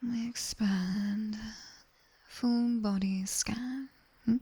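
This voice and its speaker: A British woman voicing a sharp-tongued, seductive nightmare demon tutor in a breathy whispered ASMR roleplay, commanding and teasing, close on a binaural microphone. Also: medium pitch, fairly even intonation, very slow, heavily acted. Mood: soothing, instructing. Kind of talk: whispered ASMR roleplay, guided body scan.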